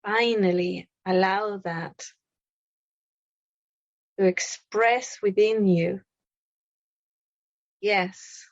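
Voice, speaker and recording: A woman guiding a meditation in gentle, deliberate short phrases with long pauses between them. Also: mid-range voice, steady tone, dry recording, highs cut off. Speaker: meditation guide. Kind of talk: guided meditation, spoken slowly.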